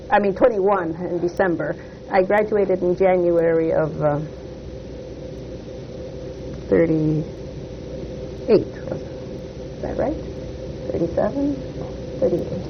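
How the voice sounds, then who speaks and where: An older speaker from Detroit, whose was Polish, tells a family story slowly and hesitantly. The voice is gravelly and sits at a middling pitch. It comes through a muffled, lo-fi recording with steady audible hiss. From Detroit, United States. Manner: hesitant, with frequent "uh" pauses and self-corrections. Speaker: oral history interviewee. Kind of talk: slow, reflective life-story telling. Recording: muffled lo-fi tape with clear hiss.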